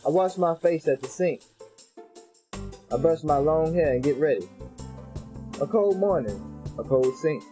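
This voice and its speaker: A man's voice: a little high, expressive, unhurried, long pauses, dark tone, faint music behind. A man reading visual novel text aloud for a let's play, with casual slangy asides.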